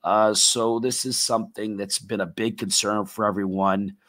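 A man hosting a live tech talk show, his voice a little raspy and tired, rambling with many fillers and restarts. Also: lowish voice, little pitch movement, normal speed, fairly bright tone.

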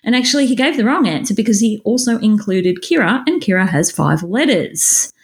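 An Australian woman co-hosting a casual podcast chat, upbeat with quick reactions. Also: mid-range voice, animated. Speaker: podcast co-host.

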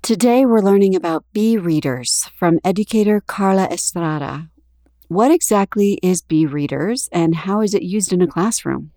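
A woman hosts a podcast, narrating clearly from a script and asking an interview guest questions. Her voice sits a little low. The sound is dry and close. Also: moderate pace, warm tone, no room sound. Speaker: podcast host.

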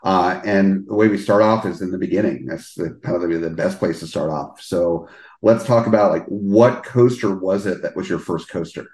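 A man's voice: low voice, normal speed, darkish tone, roomy sound. A man hosting a podcast interview, chatting casually and rambling with many restarts. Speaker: podcast host.

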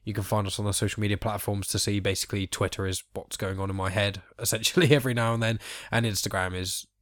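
A man's voice: fairly low pitch, steady tone, fast, a bit bright, no room sound. A young man hosting a casual chat podcast, rambling with lots of ums and sort-ofs, his nose blocked up from hay fever. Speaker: podcast host.

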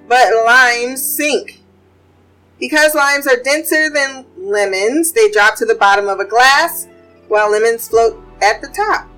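Bright high-voiced woman chatting. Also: rough recording. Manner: chatty, blunt, swears freely. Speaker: podcast host. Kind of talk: casual pop culture chat and fun facts.

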